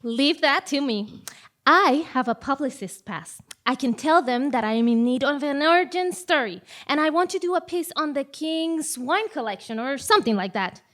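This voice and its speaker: A woman's voice: sits high, lively intonation, normal speed, fairly bright tone. A woman playing a bold, self-assured publicist, confidently plotting in a comic stage audio play. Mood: confident, scheming. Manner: confident, scheming. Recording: live theatre stage recording.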